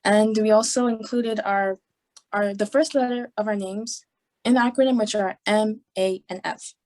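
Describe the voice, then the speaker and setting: A woman's voice: mid-range voice, fairly even intonation, moderate pace, a little mellow. A young woman from New York giving a prepared student presentation in a composed, scripted way over a video call. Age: young adult.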